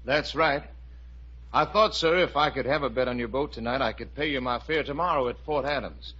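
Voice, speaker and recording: A man's voice: medium pitch, fairly quick, sharp tone. An actor playing a charming, courtly young man in love, in a 1950s radio musical drama, speaking earnestly. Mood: courteous, smooth. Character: charming, courtly young riverboat gambler, an ardent suitor. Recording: muffled old radio broadcast with music.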